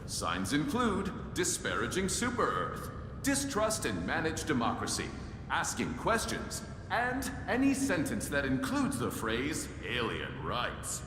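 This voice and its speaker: A man's voice: huge pitch swings, measured pace. A man playing a stern, officious propaganda officer who delivers an emphatic public warning about disloyalty. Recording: booming echoey hall, rumbling background noise.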